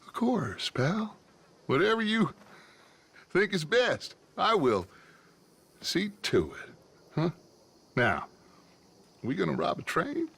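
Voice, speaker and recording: An actor playing a charismatic, scheming American outlaw gang leader in a dramatic video game, speaking in grandiose, theatrical speeches. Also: male voice, high voice, big pitch swings, slow pace, vocal fry, pauses often, fairly bright tone, breathy edge, exaggerated voice. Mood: tense, resentful.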